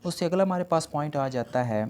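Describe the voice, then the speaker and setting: A man's voice: on the high side, brisk, a little mellow. A man with a Pakistani accent giving an explanatory law lecture, reading points aloud carefully and explaining them conversationally. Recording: noticeable background noise.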